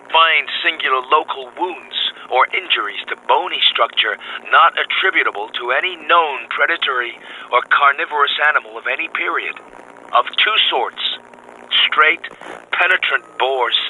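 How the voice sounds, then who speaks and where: A man playing an excited, driven field scientist, dictating clipped, precise, urgent bulletins over a wireless link. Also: mid-range voice, a little hiss or hum, rough recording. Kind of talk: clipped telegraphic radio drama reading.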